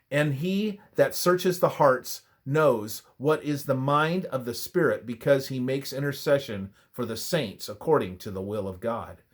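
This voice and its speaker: A man with a medium-pitched voice reads a prepared religious study aloud. His delivery is measured and formal, with a reverent manner suited to devotional narration.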